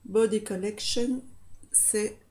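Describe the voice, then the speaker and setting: Woman, 40s, slightly congested; soft, slow, hesitant makeup-tutorial host on a close webcam in a small room. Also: medium pitch, fairly even intonation. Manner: soft, hesitant, talks while applying makeup. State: slightly stuffy nose from a cold or allergy.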